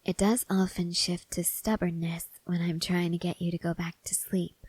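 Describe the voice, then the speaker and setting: A woman playing a stoic, devoted young woman soldier in an intimate ASMR roleplay, speaking softly and hesitantly, often half-whispering. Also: fairly low pitch, level tone, moderate pace, slightly rough, dry recording. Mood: tender, quiet. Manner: hushed, hesitant, gently reserved.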